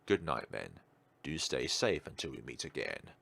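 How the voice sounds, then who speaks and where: A courteous necromancy scholar from a fantasy visual novel, voiced by a man reading along. He speaks formally and gently in a deep voice, with careful good manners. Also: big pitch swings, normal speed, creaky, full character voice. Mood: courteous, gentle.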